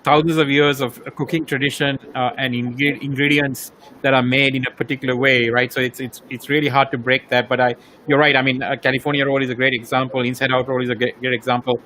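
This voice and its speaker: A man's voice: medium pitch, little pitch movement, fast talker, bright, forceful tone, a little hiss or hum, rough recording. A man, originally from Sri Lanka, interviewing a guest in a casual, rambling way full of fillers and repeated words.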